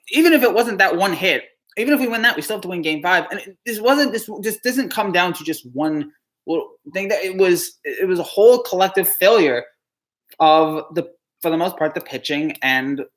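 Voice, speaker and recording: A man from New Jersey on a live stream, answering chat questions in a halting, dejected way, with frequent stammered restarts and sighs. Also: on the high side, fairly bright tone.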